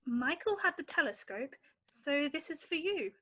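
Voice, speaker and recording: A woman's voice: high voice, very animated, moderate pace, fairly bright tone. A woman playing an earnest, wondering young girl in an amateur script read-through. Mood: curious.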